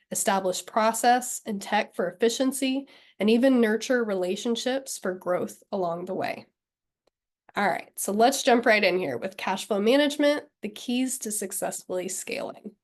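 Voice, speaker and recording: A woman's voice: medium pitch, normal speed. A woman in her thirties from Texas, giving an upbeat, organized webinar presentation. She has a cold, so she sounds slightly nasal. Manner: upbeat and friendly, clear and organized.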